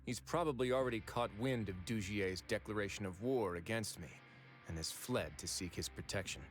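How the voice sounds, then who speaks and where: An actor playing a composed male fortress warden in a video game, speaking in a calm, measured, authoritative way. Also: lowish voice, close to an everyday voice. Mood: decisive. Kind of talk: game cutscene voice acting. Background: faint game music.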